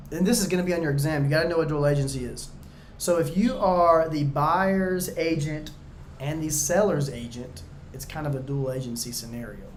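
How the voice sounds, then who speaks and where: A man tutoring viewers through real estate exam questions in a casual, chatty way, joking and going a bit hoarse. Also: fairly high pitch, a little mellow, roomy sound, a little hiss or hum. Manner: chatty, talks straight to viewers, jokey asides. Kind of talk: casual practice-exam walkthrough.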